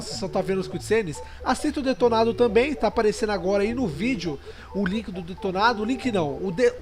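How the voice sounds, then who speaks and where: A Brazilian man records a casual introduction for a gaming video. He speaks informally and hesitantly, often correcting himself. Soft music plays faintly underneath. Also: high voice.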